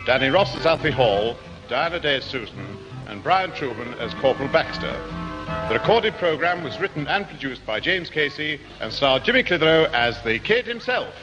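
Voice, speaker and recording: A man's voice: a little high, sing-song, normal speed, over music. A man reading cast credits as a formal 1960s radio announcer. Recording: muffled, echoey 1960s radio recording.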